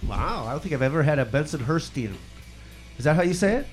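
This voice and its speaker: Chatty Midwestern man with a lively voice. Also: fairly high pitch, fast, soft background music. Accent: Midwestern American. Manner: chatty, swearing, laughing often. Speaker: radio show host. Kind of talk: casual interview chat.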